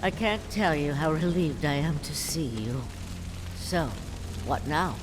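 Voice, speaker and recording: Careworn, expressive townswoman. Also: sits low, a little slow, noisy background. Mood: weary, relieved. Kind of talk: video game cutscene acting.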